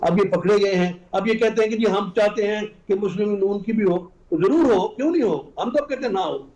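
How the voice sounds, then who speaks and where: A man's voice: high voice, brisk, dark tone, muffled recording. A man with a Pakistani accent arguing emphatically as a political talk show guest, often repeating himself and dropping in English phrases. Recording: roomy, echoing sound.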